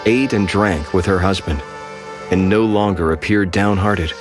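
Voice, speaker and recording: A man giving measured, solemn scripted scripture narration over strong music. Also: deep voice.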